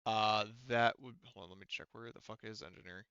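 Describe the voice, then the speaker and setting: A man's voice: lowish voice, fairly even intonation, a little fast, bright, forceful tone, clean tone, no echo, lo-fi sound. A man casually running a tabletop game as game master, rambling and swearing freely.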